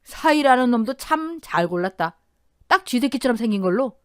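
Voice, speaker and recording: A woman's voice: fairly high pitch, lively intonation, normal speed, no room sound, clean studio sound, pitched above the performer's own voice, lightly acted. A woman putting on a sneering, cruel old stepfather. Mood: mocking. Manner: mocking, taunting.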